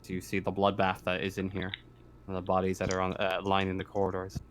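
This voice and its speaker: A man's voice: low voice, completely flat delivery, fast talker. A man improvising narration as game master of a tabletop horror roleplaying game. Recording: clear background noise with clicks.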